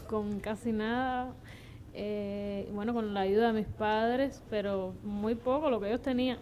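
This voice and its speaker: Female small-business owner, average pitch, unscripted account, slightly noisy live event recording. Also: darkish tone. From Cuba.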